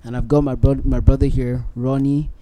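Casual young man hosting, very dark muffled tone. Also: mid-range voice, little pitch movement, moderate pace. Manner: informal, slangy, agreeable interjections. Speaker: podcast host. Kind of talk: casual interview chat.

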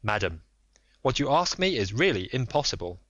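A man voicing a reserved Victorian male detective who speaks in a measured, formal and guarded way. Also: mid-range voice, very animated, a bit bright, dry recording. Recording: dull lo-fi dry recording.

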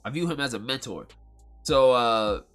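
A man with a bright, nasal voice hosts his own podcast at a home mic. He talks in a loose, rambling, slang-filled way, and faint music sits low behind him. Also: moderate pace. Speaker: podcast host. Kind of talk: casual solo podcast talk.

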